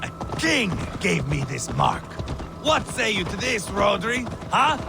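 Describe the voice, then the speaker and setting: A man's voice: high voice, very animated, slow, creaky, music in the background, exaggerated voice. A man acting a cruel, theatrical Viking warlord in a video game, gloating and mocking as he savors his words. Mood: taunting.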